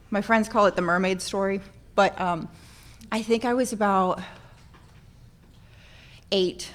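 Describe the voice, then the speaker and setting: A woman from central Florida, born in Norway, sharing a personal story informally with a group in a candid, self-deprecating way. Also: medium pitch. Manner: candid, self-deprecating, animated when acting out moments. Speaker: speaker sharing a personal addiction recovery story.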